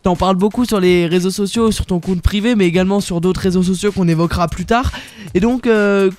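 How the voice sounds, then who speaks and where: A man's voice: sits high, fairly even intonation, brisk, a little mellow, no room sound, faint music behind. A young man hosting a radio interview, talking casually with many fillers and restarts. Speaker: radio host.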